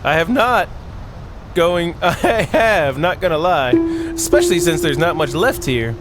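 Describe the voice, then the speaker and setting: Animated, high-pitched man with music behind him. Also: measured pace. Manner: playful, voices dialogue and reacts aloud. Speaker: video game streamer. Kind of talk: reading a visual novel aloud with playful commentary. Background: game music.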